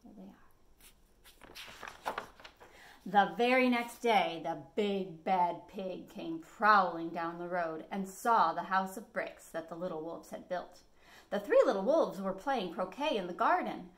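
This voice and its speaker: A middle-aged woman hosting storytime, reading a picture book to children with animated, dramatic emphasis. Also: mid-range voice, measured pace. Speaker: library storytime host. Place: living room at home, indoors.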